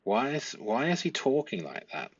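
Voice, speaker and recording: Man, medium pitch, analytical host commentary, halting phrasing, stressed key words, dry, dull lo-fi recording. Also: sing-song, dry recording. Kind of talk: analytical commentary reading transcript excerpts aloud. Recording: dull, muffled lo-fi audio.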